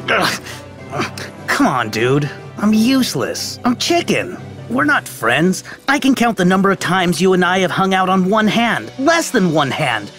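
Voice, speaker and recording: A lazy, cowardly young villain from a video game, whining in an unhurried drawl. His pitch leaps all over the place, from low grumbles to squeaky outbursts, with music playing softly behind. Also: full character voice. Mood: whiny. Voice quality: whiny, drawling, easily excited.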